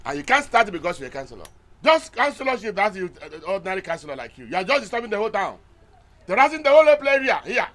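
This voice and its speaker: A man playing a king's messenger who forcefully confronts a bully. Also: high voice, big pitch swings, very fast. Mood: defiant. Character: bold male messenger of the king, defiant.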